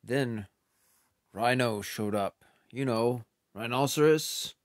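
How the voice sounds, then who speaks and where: A man reading a picture book aloud, voicing an elephant telling a long story, slow and dramatic. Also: medium pitch, slight background noise, lightly acted. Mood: earnest. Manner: slow, dramatic storytelling with sing-song sounds. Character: gloomy elephant telling his tale.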